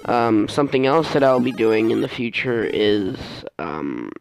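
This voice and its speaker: A man giving casual let's play commentary as host, rambling and halting as he often loses his train of thought. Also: lowish voice, big pitch swings, creaky, faint music behind, low-quality recording. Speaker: gaming video host.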